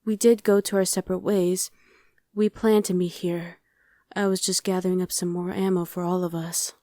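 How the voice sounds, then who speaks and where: Woman acting a mellow, low-voiced young female survivor. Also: flat delivery, normal speed, no room sound, clean studio sound, close to an everyday voice. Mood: matter-of-fact, subdued. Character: friendly, streetwise young woman surviving a demon invasion. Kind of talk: ASMR roleplay, conversational acting addressed to the listener.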